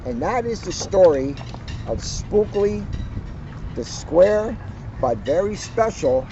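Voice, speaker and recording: A man with a notably high voice reads and talks to children in an expressive, deliberate storyteller's way, stretching the ends of phrases. He is recorded outdoors, and the sound is dull with faint background noise. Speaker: police officer reading to children in a community video series. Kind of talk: picture-book read-aloud with informal chat. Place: outdoors at a garden center. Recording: dull, noisy outdoor audio.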